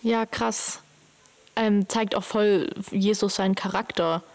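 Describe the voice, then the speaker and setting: A woman's voice: mid-range voice, fairly even intonation, slow, gravelly. A woman in a casual chat, reflecting informally and asking questions as a conversation partner.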